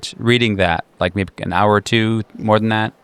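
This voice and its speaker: A man narrating an improvised tabletop game as game master, in a conversational, halting way with repeated words. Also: fairly low pitch, steady tone, smooth, no room sound.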